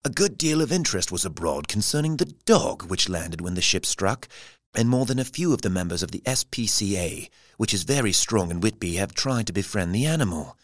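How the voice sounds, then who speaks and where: A man's voice: lowish voice, lively intonation, brisk, dry recording, clean studio sound, natural voice. A man playing a florid newspaper reporter in an audio drama, telling the story in a formal, theatrical way. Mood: intrigued, florid. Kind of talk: scripted audio drama narration.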